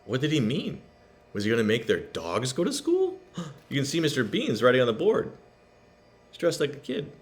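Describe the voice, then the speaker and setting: A man reading a picture book aloud to children in an expressive, emphatic way, adding explanations for the listeners. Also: mid-range voice, moderate pace. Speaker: read-aloud storyteller.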